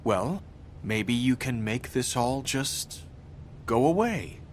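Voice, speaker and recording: A meek, troubled man in a video game. He speaks very slowly at a middle pitch, hesitant and pleading, over faint ambience. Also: lively intonation, slight background noise.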